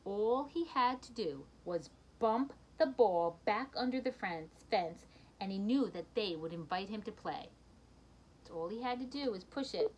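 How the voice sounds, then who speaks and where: A woman reading a children's picture book aloud in an expressive storytelling manner, with brief asides to her listeners. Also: mid-range voice, a little slow, a little hiss or hum, rough recording.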